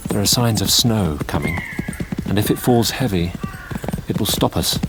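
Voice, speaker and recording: A man's voice: fairly low pitch, normal speed, very noisy, lightly acted. An actor playing an earnest, determined young Victorian husband, narrating his diary in a formal, urgent manner for an audio drama. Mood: urgent, anxious.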